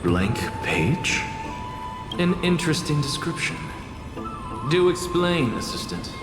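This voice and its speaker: A man's voice: on the high side, sing-song, takes it slowly. An actor playing a pompous, impatient male professor who is theatrical and condescending in a video game. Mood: intrigued. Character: haughty, impatient male professor and sage, sharp-tongued and dismissive. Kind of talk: scripted video game voice acting. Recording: echoey hall reverb under music. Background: music.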